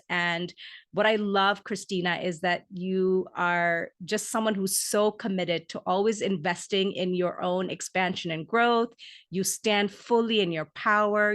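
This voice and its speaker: Effusive lower-voiced woman podcast host. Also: a bit bright. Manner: gushing, effusive praise. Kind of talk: podcast interview hosting.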